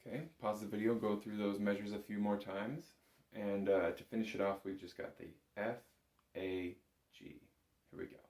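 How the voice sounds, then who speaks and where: A man's voice: on the low side, little pitch movement, normal speed, darkish tone, a little hiss or hum. A man giving an informal, patient music lesson, talking learners through it step by step. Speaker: bagpipe instructor. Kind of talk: instructional music lesson to camera. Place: indoor room, echoey. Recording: echoey room.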